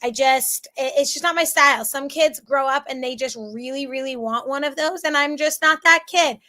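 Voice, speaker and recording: Bright, high-voiced American woman with a cold. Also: normal speed. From central Florida, United States. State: strained voice from a cold. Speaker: math teacher leading an online class. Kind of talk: casual chatty live lesson.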